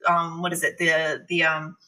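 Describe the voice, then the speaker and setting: A woman speaks in a slightly low register with a bright, clear-cut edge. She is candid and conversational, telling personal stories and acting out her own thoughts as she goes. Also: completely flat delivery, moderate pace, rough recording. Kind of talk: casual, confessional conversation.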